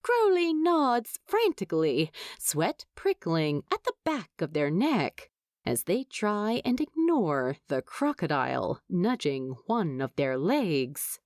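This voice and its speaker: A woman reading a story aloud as an expressive, wry narrator. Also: on the low side, slow, dry recording, studio quality. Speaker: fan fiction narrator.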